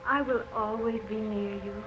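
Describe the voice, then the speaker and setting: An actress playing the gentle, loving ghost of a young woman, speaking in a measured, tender way in an old radio drama. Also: on the high side, steady tone, a little hiss or hum, lightly acted. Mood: serene, tender. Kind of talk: 1940s radio drama acting. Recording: muffled old radio recording.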